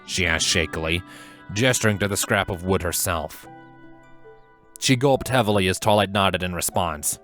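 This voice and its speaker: Low-voiced man narrating a story. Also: normal speed, a bit bright. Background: music.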